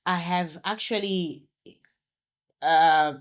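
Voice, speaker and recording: Chatty middle-aged woman from Zimbabwe, solo podcast host, lower voice, promotional, self-correcting. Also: drawn-out words.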